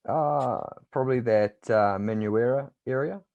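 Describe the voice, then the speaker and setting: A man's voice: mid-range voice, moderate pace, vocal fry, darkish tone, lo-fi sound. A man with a New Zealand accent in casual conversation as an interviewer and coach, informal and matey.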